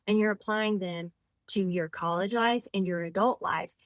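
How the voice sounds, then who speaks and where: A woman's voice: mid-range voice, normal speed, dry recording, studio quality. A woman in her twenties, a podcast guest and author, talking in a chatty, rambling way with lots of "like" fillers and self-corrections. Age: 20s. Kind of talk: casual conversational interview.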